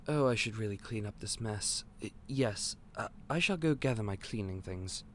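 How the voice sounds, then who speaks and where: A man playing an eccentric, otherworldly candy store owner in an audio roleplay, polite and gentle but a little scatterbrained. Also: fairly low pitch, expressive, moderate pace, a little hiss or hum. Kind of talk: scripted audio roleplay to the listener.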